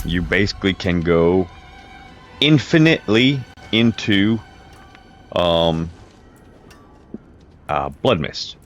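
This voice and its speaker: A man with a low-pitched voice giving casual, rambling gameplay commentary, often restarting and correcting himself. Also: animated, takes it slowly, long pauses, faint music behind. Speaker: gaming video creator. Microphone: home streaming mic with crackle.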